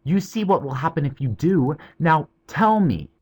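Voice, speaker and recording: A cocky young boy, as a male narrator puts him on, with a husky, lively voice. He talks down to others and takes charge with bossy assurance. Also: on the high side, moderate pace, lo-fi sound, voice pushed up. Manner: bossy, reassuring. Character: bold patronizing young boy.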